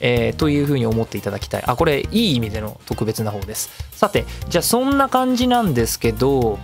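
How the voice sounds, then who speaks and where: A man's voice: mid-range voice, sing-song, fast talker, soft background music. A man hosting a casual solo web radio show in speech, chatty and given to acting out imagined remarks. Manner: talkative, acts out imagined remarks mid-talk.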